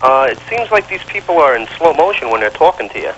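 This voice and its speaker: A man's voice: a little high, very animated, quick pace, fairly bright tone. A man phoning in to a show, speaking casually and hesitantly over a telephone line. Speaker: phone-in caller. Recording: dull phone line, faint noise.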